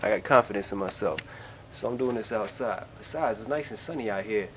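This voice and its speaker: A man getting over a cold, sniffling and clearing his throat as he explains a how-to job outdoors in a laid-back, chatty way. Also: lowish voice, fairly quick. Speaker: DIY video maker. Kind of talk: casual how-to tutorial. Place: outdoors beside a car in sunshine. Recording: outdoor ambient noise.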